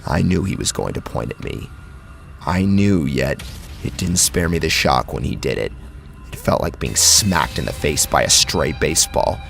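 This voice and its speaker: A man's voice: deep voice, creaky, a bit bright. A man narrating a scripted first-person horror story dramatically, close on the microphone. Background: background music.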